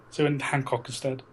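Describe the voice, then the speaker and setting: A young man co-hosting a casual film podcast, chatting in a halting way and repeating words as he goes. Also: medium pitch. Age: young adult. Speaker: podcast co-host. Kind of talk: casual chat about films. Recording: noisy amateur podcast recording.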